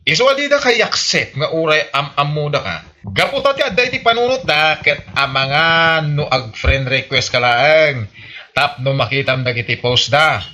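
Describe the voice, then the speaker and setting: A man's voice: on the high side, big pitch swings, moderate pace, bright, forceful tone. A man playing an exasperated, sarcastic, nagging husband in an Ilocano radio comedy, grumbling and scolding in an Ilocano Filipino accent. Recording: muffled lo-fi, faint music behind.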